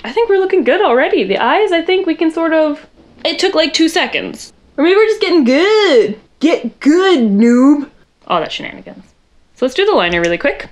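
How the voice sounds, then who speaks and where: A young woman with a loud voice, fairly high, chatting her way through a makeup tutorial in her bedroom. Her pitch jumps around a lot. She rambles and pokes fun at herself. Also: sing-song, moderate pace. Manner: chatty, rambling, self-deprecating, talks to viewers while working. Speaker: makeup vlogger.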